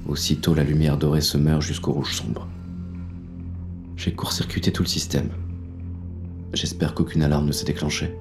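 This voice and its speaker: A wary male inspector played by a man narrates his break-in in short, tense phrases. His voice is deep, with a heavy gravelly creak. Music plays clearly behind this audio drama monologue. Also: level tone, normal speed, pauses often. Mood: alarmed. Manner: terse, tense inner monologue with coarse asides. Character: gruff, wary male police inspector turned burglar.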